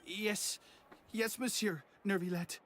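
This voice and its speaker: Woman playing a meek, slow, nervous underling. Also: mid-range voice, steady tone, slight background noise, close to an everyday voice. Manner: hesitant, faltering. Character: nervous female underling confessing, meek and fearful. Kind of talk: video game dialogue acting.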